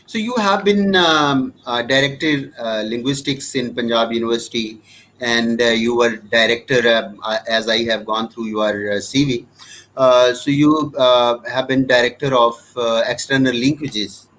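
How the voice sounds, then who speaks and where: A man hosts a talk show, asking questions in a halting way full of fillers. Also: medium pitch, a bit bright, poor audio. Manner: halting, many fillers and restarts. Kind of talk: hesitant interview questions.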